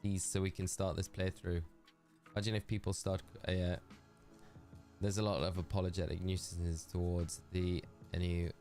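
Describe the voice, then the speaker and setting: A man's voice: low voice, little pitch movement, moderate pace, warm tone, soft background music. A man with a Geordie accent chatting casually and rambling on a live game stream, talking to his chat.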